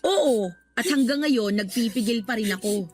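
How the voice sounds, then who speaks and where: A woman's voice: fairly high pitch, animated, moderate pace, darkish tone, faint music behind, close to an everyday voice. A woman with a Filipino accent playing a blunt, protective friend in a radio drama, emphatic and indignant. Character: indignant, protective female friend of a wronged wife. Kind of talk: Tagalog radio drama acting.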